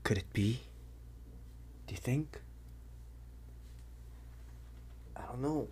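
Man acting a husky, anxious teenage werewolf boy. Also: a little high, full character voice. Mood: shocked, bewildered. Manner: hesitant, halting, often breathy half-whisper. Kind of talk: ASMR audio roleplay.

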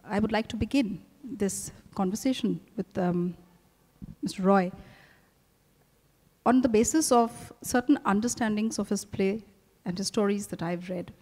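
A woman's voice: mid-range voice, a little mellow. Formal Indian woman interviewer, echoey hall. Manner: formal, articulate, measured. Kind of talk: formal on-stage interview introduction. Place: hotel ballroom stage. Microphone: handheld microphone. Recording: echoey ballroom with long reverb.

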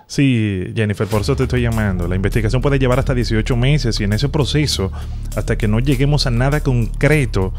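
A young man hosting a prank phone call, speaking gravely like an official into a close studio mic. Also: mid-range voice, a little fast, a little mellow. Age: young adult. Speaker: prank call show host. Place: home studio room. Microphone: close studio mic on boom arm. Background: music.

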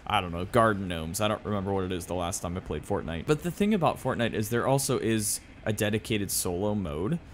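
A man's voice: lowish voice, background noise. A man giving casual, conversational and opinionated commentary as a video game streamer.

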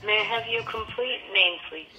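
A woman's voice: on the high side, normal speed, bright, forceful tone, faint music behind. A woman working as a call centre agent, chatting casually on a telephone call. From United States. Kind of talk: casual chat on a customer phone call. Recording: dull, noisy telephone line.

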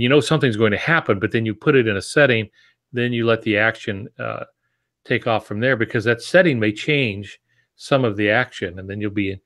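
A man in his sixties with a lowish voice, talking as a podcast co-host. He gives casual, reflective advice over a video call from his home office. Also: fairly bright tone. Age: 60s. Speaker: podcast co-host, experienced writer. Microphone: video call on earphones.

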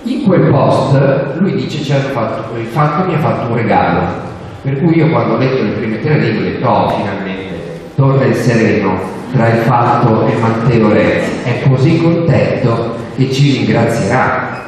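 A man's voice: mid-range voice, lively intonation, normal speed, darkish tone, a little hiss or hum. An older man speaking at a panel, sarcastic and mocking, building his points toward punchlines while gesturing with a handheld mic on stage. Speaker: journalist speaking at a book fair panel. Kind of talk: sarcastic public talk to an audience. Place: large hall on stage. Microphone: handheld microphone, recorded from the audience. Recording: echoey hall from far off.

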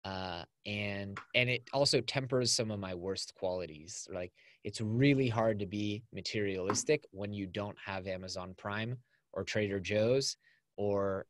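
Low-voiced man, dry studio. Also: measured pace, no room sound. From California. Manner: upbeat, chatty, lots of "like". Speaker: podcast guest. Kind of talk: casual conversational interview. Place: small home studio with acoustic foam. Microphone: video call.

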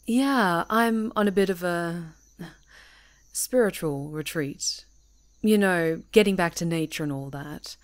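A friendly young woman camping out alone on a retreat, as a woman plays her in an audio roleplay, chatty and teasing, laughing easily as she talks. Her voice sits a little low for a woman, with faint outdoor noise behind it. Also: takes it slowly. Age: young adult. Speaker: ASMR roleplay performer.

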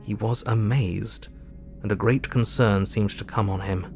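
An actor playing a sober, reflective Victorian doctor, narrating his diary in a measured, formal way for an audio drama. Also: lowish voice, steady tone, gravelly, music in the background.

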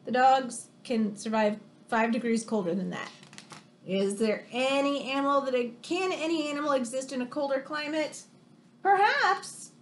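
Expressive, high-pitched American woman reading aloud. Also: a little hiss or hum. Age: 30s. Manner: expressive, exclamatory, questioning. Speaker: teacher reading to children. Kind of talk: animated read-aloud of a picture book with chatty asides. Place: room in a home, indoors.

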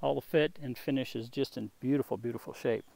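A man walks a buyer through a restored classic motorcycle in an easy, unhurried sales patter with a dry wit. His voice sits at a middling pitch, and the sound is close and dry with little room around it. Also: gravelly, darkish tone, no room sound. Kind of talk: off-camera sales walkthrough.

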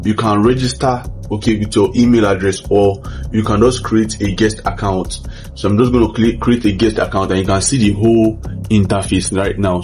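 A man presenting a casual software tutorial, often checking in with the listener with little tag questions. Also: lowish voice. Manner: frequent "okay", "right", "you understand" check-ins. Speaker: tutorial host. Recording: music behind the voice. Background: background music.

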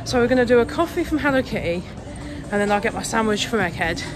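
A woman with a croaky voice from a cold, chatting casually and rambling through a travel vlog with frequent ums. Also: on the high side, animated, fast, a crowd in the background. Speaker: travel vlogger.

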